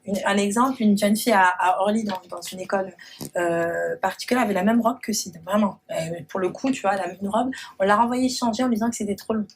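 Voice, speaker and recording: A woman's voice: medium pitch, fast, slight background noise. A woman hosting a talk show, putting pointed questions to her guest.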